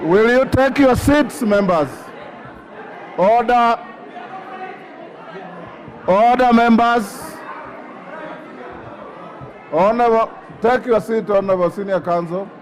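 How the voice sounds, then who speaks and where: A man's voice: very high-pitched, measured pace, long pauses, poor audio. A man with a Kenyan accent chairing a rowdy parliamentary session, sternly calling members to order. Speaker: parliamentary speaker. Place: large parliamentary chamber. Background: crowd of legislators.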